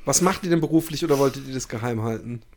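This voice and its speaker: A man's voice: medium pitch, fast talker, a little mellow, slight background noise. A man hosting a casual podcast chat, talking in a teasing, joking and self-mocking way. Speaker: podcast host.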